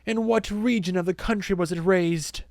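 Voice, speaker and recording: Man voicing a theatrical woman zealot, pitched up. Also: a little slow, dry recording, clean studio sound, lightly acted. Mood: accusing, commanding. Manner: theatrical, accusing, declamatory. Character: solemn, menacing woman zealot preaching to followers. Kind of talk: audiobook character acting.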